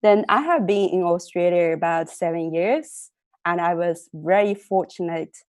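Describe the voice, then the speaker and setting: A woman's voice: fairly low pitch, measured pace, a little mellow. A woman from southern China, a Mandarin speaker, introducing herself on a panel in a playful, chatty way.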